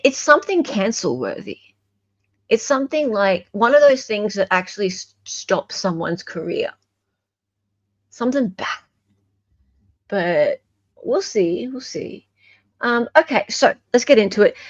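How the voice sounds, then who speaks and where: A lively Australian woman with a highish voice that swings widely in pitch. She chats casually as a livestream host, leaning on key words and tossing out questions. The sound is close and dry, though slightly dull and lo-fi. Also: no room sound. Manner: chatty, dramatic pauses and emphasis, rhetorical questions. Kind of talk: casual live gossip commentary.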